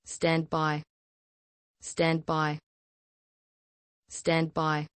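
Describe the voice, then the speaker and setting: A woman reading a scripted emergency broadcast announcement in a formal, impersonal and measured way. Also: sits low, completely flat delivery, clean tone, dry recording.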